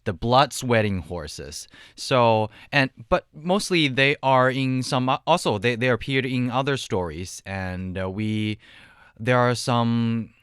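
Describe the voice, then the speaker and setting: A man's voice: mid-range voice, slow, a bit bright, smooth, no room sound, clean studio sound. A man from China on a podcast panel, explaining things casually and a little hesitantly. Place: podcast studio.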